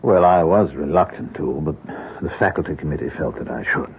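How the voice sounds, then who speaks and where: An American actor playing a courteous, secretly sinister middle-aged professor in an old-time radio drama, measured and formally polite. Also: male voice, lively intonation, full character voice. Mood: courteous, guarded. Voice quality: deep, gravelly. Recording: noisy, muffled vintage radio recording.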